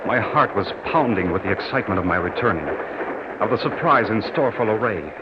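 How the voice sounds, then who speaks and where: Male actor for young returning soldier, radio drama: deepish, dramatic, eager and sincere; dull vintage sound with heavy hiss. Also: lively intonation, natural voice. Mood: excited anticipation. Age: young adult. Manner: theatrical, drawn-out, dramatic delivery. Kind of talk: old-time radio drama acting, narrated first person. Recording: noisy, band-limited old radio recording.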